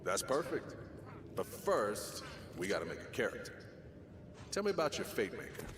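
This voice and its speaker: A man's voice: lowish voice, big pitch swings, normal speed, background noise. A man playing a patient, earnest game master who guides a newcomer, in conversational video game acting. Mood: encouraging. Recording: echoey, loud ambience behind.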